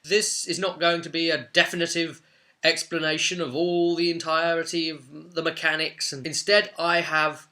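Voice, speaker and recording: A man's voice: fairly high pitch, level tone, normal speed, pushed and bright. A man presenting an informal explainer on game rules in an enthusiastic, conversational manner. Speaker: presenter of a tabletop game guide.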